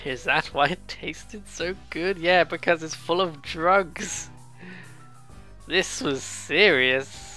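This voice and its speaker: A bright, forceful voice at a middling pitch gives casual let's play commentary over music. The delivery is rambling and excitable, drifting between reading game text aloud and jokey asides. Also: slow pace. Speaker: let's player.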